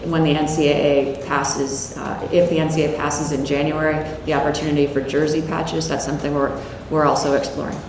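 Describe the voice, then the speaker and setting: Woman executive, low voice, conversational press conference answers, heavy room echo. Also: little pitch movement, fairly quick, hardly any pauses, distant mic. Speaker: university athletic director answering questions. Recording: very echoey room with faint noise.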